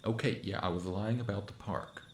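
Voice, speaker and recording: An awkward, sardonic monster in a friend's shape, acted by a young man for an audio roleplay. His voice is low and casual, with hesitant, wry phrasing. Some background noise sits behind it. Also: fairly quick, darkish tone, echoey room, lightly acted. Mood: awkward, defensive. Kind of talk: audio roleplay acting, spoken to the listener.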